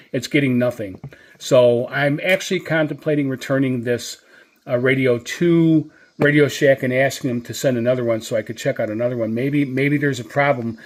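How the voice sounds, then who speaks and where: A man's voice: mid-range voice, a little fast. A man giving a casual, unscripted product review as a channel host, speaking hesitantly and often circling back over his points.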